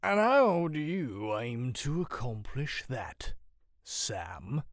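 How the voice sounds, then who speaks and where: A man's voice: mid-range voice, animated, takes it slowly, no echo, studio quality. A man playing a drunken, cheeky sea captain in an audiobook, with slurred, snarky, jesting speech. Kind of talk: audiobook character acting.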